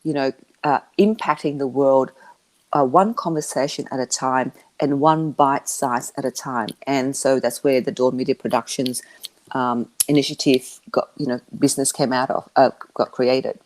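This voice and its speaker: Low-voiced woman, halting and earnest. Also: steady tone, a little mellow. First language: not English. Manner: halting, with frequent restarts and fillers. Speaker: interview guest, media business founder. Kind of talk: conversational interview answers.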